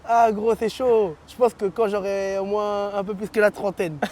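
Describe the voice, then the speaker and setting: A man's voice: sits high, moderate pace. A man, animatedly telling stories as an interview guest, constantly checking that the listener follows. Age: 20s. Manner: animated storytelling, frequent "tu vois". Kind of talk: casual street slang chat.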